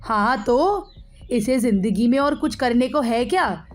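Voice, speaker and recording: A woman voicing a spiteful middle-aged aunt who scolds and bosses in a sharp manner, in a moral story. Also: a little high, lively intonation, measured pace, soft background music. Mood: indignant, spiteful.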